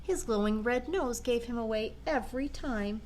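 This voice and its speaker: An older woman, a grandmother, reading a children's picture book aloud in a gentle, expressive way. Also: a little high, moderate pace, background noise. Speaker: storyteller grandmother.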